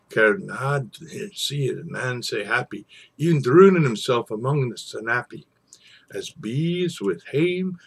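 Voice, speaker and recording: Slow, husky man telling tales. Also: medium pitch. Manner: theatrical, savouring phrases with pauses. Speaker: storyteller and poetry reader. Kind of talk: informal introduction, then dramatic poetry recitation.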